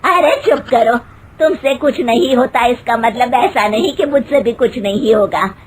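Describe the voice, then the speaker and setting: A woman's voice: on the high side, breathy edge. A woman voicing a boastful, starstruck mother-in-law in a theatrical, brash way for a comic family story. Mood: defiant. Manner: theatrical, brash, breaks into drawn-out singing.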